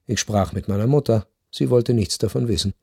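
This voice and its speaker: An actor playing a reflective, wounded husband who narrates a literary radio play in a measured, restrained way. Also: male voice, lowish voice, gravelly, warm tone, husky, no room sound, studio quality. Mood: wistful, restrained. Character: reflective, wounded husband recounting his past. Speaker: first-person narrator.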